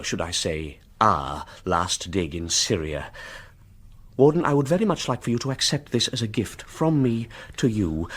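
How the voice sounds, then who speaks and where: A man playing a genial, formal British archaeologist don giving a measured, ceremonious speech in a radio drama. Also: mid-range voice. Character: male archaeologist don, genial on surface, ceremonious, defeated candidate.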